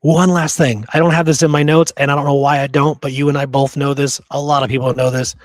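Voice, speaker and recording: A man's voice: fairly high pitch, flat delivery, quick pace, no room sound. Emphatic male podcast host, dry close sound. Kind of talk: casual history discussion.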